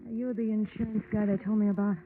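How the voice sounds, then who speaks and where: An actress playing an anxious, wary carnival snake charmer in an old-time radio drama. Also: female voice, mid-range voice, flat delivery, quick pace, close to an everyday voice. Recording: noisy narrow-band old radio recording.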